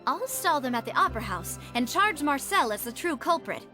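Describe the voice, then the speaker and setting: A woman playing a poised, determined young lady investigator in a video game, composed and expressive. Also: sits high, fairly quick, soft background music, full character voice.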